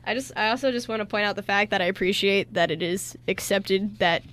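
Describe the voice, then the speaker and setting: A woman's voice: mid-range voice, brisk, fairly bright tone. A woman on a radio show, reading story narration and chatting casually with the others.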